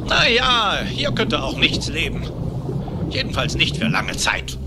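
A man's voice: high-pitched, huge pitch swings, unhurried, vocal fry, very noisy, full character voice. A man playing a proud, grumpy old duck uncle in a German cartoon audio play, grumbling and exclaiming. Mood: wary, eager. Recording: music behind the voice.

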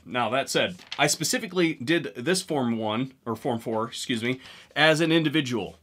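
Bright-voiced joking man host. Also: medium pitch. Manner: wry, joking, conversational. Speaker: gun channel host. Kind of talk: casual talk to camera with sponsor read. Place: indoor room with guns on wall behind.

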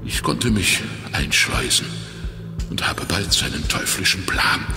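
A wounded former crook turned detective , played by a male actor speaks very low and slowly. He is weary and strained, halting often as he confesses, yet stays determined. It is an audio drama with music and a long echo around the voice. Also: animated, creaky, exaggerated voice. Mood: strained, grave. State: weak and exhausted from injury. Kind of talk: German children's audio drama. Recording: echoey reverberant hall, music underneath.

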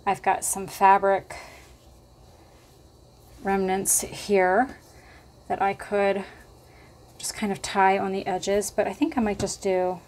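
A woman hosting a casual crafting tutorial at her table, chatty and thinking aloud with lots of fillers, her voice slightly hoarse. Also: mid-range voice, level tone, slow pace, long pauses, a little mellow, slight background noise.

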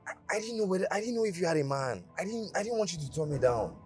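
An actor playing a persistent, moneyed suitor with a Nigerian accent, pleading, flattering and insistent in an earnest screen drama. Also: male voice, high-pitched, rapid-fire, faint music behind. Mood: earnest, defensive. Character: persistent, smooth-talking moneyed male suitor.

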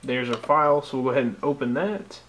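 A man's voice: medium pitch, lively intonation, fast talker, a bit bright, slight background noise, poor audio. An American man narrating a casual step-by-step tutorial as a tech host, explaining things informally.

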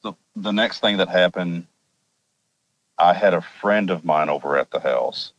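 Deep-voiced American man telling a story. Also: measured pace, lo-fi sound. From Arkansas, US. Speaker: podcast guest telling personal experiences. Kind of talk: casual conversational storytelling.

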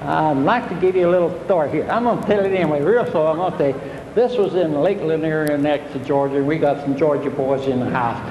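Older man, fairly high voice, folksy seminar speaker, recorded in a reverberant hall with faint hiss. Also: fast talker, a little mellow, muffled recording. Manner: homespun, repetitive, plainspoken. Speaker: fishing instructor.

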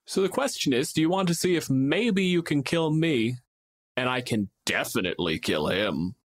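A man's voice: mid-range voice, animated, moderate pace, natural voice. A man voicing a bored, menacing vampire lord in a tabletop game, toying with victims in a mock-polite, leisurely, taunting way.

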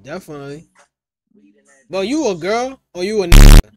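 A man's voice: high-pitched, wildly swooping pitch, moderate pace, gravelly. A man, chatting and teasing strangers on a video call through a headset, brash and slangy. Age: 20s. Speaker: Omegle video chat host. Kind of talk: casual video chat banter. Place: small dim room with colored lights.

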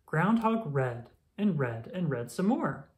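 An expressive storyteller reading a children's picture book aloud. The voice sits at a middle pitch and carries the narration in a storytelling style. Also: slow.

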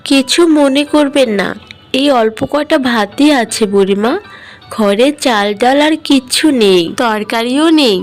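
A woman voicing a poor, kind village mother in a fairy-tale cartoon, gentle and earnest with a sorrowful edge. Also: fairly high pitch, a little slow, soft background music, close to an everyday voice. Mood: kind, respectful.

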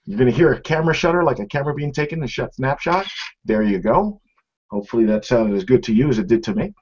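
A man's voice: medium pitch, lively intonation, quick pace, a little mellow, slight background noise. A middle-aged man giving an informal product demonstration, explaining conversationally and speaking straight to viewers. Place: small office room, indoors. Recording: dull, lo-fi webcam stream sound.

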